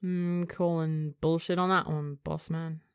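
An affectionate young man with antennae speaks gently and reassuringly, his voice a little shaky as he tries to stay calm, as a woman reading a story plays him. The voice itself sits on the lower side. It sounds close and dry. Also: measured pace, smooth, no room sound. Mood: sleepy.

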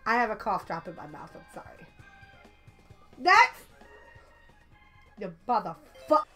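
A young woman with a slightly raspy voice from being sick, chatting casually and swearing as she plays a racing game on a headset mic. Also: mid-range voice, sing-song, takes it slowly, faint music behind. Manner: chatty, swears often, loud outbursts at the game. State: a little sick. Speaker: gaming channel host. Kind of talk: casual let's-play commentary.

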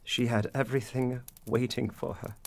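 An actor playing a weary widowed father in a period audio drama, speaking earnestly and haltingly in formal phrasing. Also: medium pitch, steady tone, gravelly, lightly acted. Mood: wistful.

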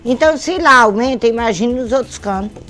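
A woman's voice: on the high side, expressive, a little fast, a bit bright, faint music behind. An older woman, co-hosting a comic news show in a small TV studio, who drawls and laughs often. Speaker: comedy news show co-host. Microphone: desk microphone.

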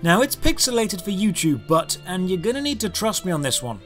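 A man giving scripted, comedic game review narration in a sardonic, exasperated manner. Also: high voice. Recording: music behind the voice. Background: background music.

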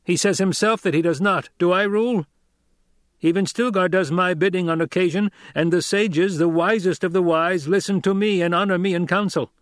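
A man voicing a young male desert leader who speaks forcefully and questions his listeners rhetorically, in an audiobook. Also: sits high, fairly even intonation, normal speed, no room sound, clean studio sound, voice pushed up, lightly acted. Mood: commanding. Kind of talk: audiobook character voice.

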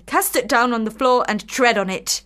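Woman playing lovelorn young lady, radio play, fairly high voice, intense, bitter, literary theatrical phrasing. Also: moderate pace, fairly bright tone, lightly acted. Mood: wounded, intense.

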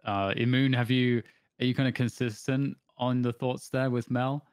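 A man's voice: mid-range voice, little pitch movement, clear, resonant tone, dry recording, clean studio sound. A man hosting an interview over a video call, asking long questions full of restarts. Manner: quick, rambling questions with restarts. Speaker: interview host.

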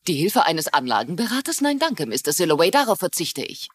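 A husky, resentful cheated buyer. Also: female voice, fairly low pitch, big pitch swings, a little fast, no room sound. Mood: scornful. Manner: sardonic, challenging. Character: resentful, sharp-tongued female cheated home buyer. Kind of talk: German-dubbed television crime drama.

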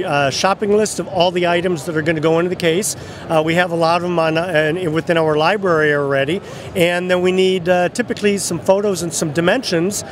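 A man in his sixties casually explaining as an exhibitor in an interview, friendly, with frequent hesitations. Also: sits high. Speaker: case company exhibitor. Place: exhibit booth in large arena. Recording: clear expo-hall background noise.

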